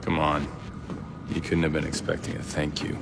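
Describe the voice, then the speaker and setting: A man playing a gruff, commanding covert operative in a video game, terse, controlled and blunt. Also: a bass voice, monotone, normal speed, noisy background. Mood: curt, reproachful. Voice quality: gravelly. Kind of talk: cinematic video game acting. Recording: loud music under the voice.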